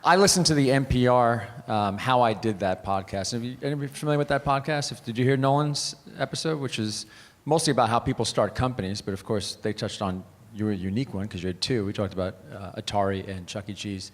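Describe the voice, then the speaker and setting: A man's voice: fairly low pitch, fairly quick. Chatty male moderator, echoey hall. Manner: chatty, loose, with fillers. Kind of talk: onstage interview questions. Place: large conference hall. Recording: echoey conference hall with audience.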